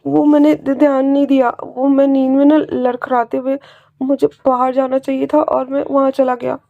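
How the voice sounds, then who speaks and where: A woman's voice: high voice, normal speed, dry recording, phone-quality sound, pitched above the performer's own voice. A woman voicing a jittery young man who stammers and pleads, frightened and guilty, in a spoken story. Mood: nervous, pleading.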